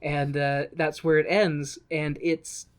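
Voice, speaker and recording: A podcast co-host in casual conversation, retelling a film in an animated way and acting out bits of dialogue. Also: medium pitch, slow pace, clear, resonant tone. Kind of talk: casual chat recapping a film.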